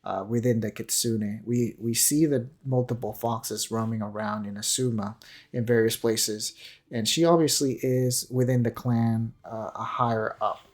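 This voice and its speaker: A man's voice: medium pitch, fairly even intonation, measured pace. A man giving unscripted, explanatory commentary on a video game, musing tentatively as he goes. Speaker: gaming commentator. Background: faint music.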